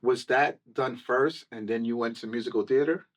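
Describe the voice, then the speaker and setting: A man's voice: mid-range voice, moderate pace. Affirming radio DJ man. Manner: friendly, affirming, frequent "okay" and "got it". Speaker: radio DJ, interviewer. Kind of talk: casual radio interview. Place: radio studio.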